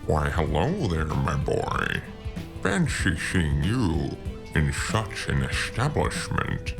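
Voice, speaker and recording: A genteel, eccentric gentleman spy in a noir audio drama, with an extremely deep, gravelly voice. He is pompous and teasing, and his theatrical lines rise and fall in big swoops over guitar music. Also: very animated, exaggerated voice. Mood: suavely amused. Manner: pompous, theatrical, drawn-out flowery phrasing. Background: plucked guitar music.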